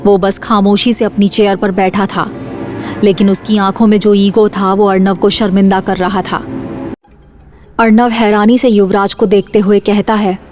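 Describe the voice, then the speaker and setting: A woman's voice: mid-range voice, level tone, normal speed, darkish tone, soft background music. A woman reading scripted suspense narration for an audio drama series.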